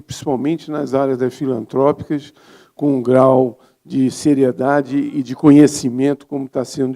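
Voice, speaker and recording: The older Brazilian doctor at a conference lectern, reflecting informally in a mellow, gravelly voice. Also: male voice, medium pitch. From Rio de Janeiro, Brazil. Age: 70s. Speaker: physician speaking as a congress presenter.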